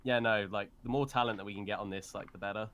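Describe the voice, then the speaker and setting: A man's voice: on the low side, steady tone, fast, fairly bright tone. A man chatting casually as a voice actor guest on a game livestream. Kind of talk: casual chat. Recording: faint background noise.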